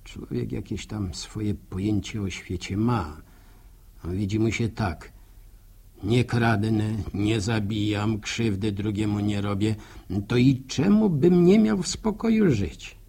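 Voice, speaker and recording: Village philosopher, middle-aged, gravelly and unhurried, folksy radio drama monologue. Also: male voice, fairly low pitch, dark tone, full character voice. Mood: philosophical, grumbling. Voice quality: gravelly, husky. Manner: rustic, musing, drawn-out, hesitant repetitions. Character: sympathetic, grumbling village countryman philosophising to himself. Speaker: narrator character in a radio serial.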